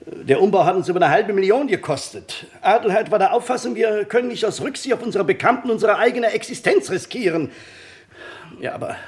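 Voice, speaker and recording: An actor playing a flustered, defensive shopkeeper in a radio crime drama, stammering and hesitant under questioning. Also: male voice, high-pitched, lively intonation, a little fast, darkish tone. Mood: agitated, pleading.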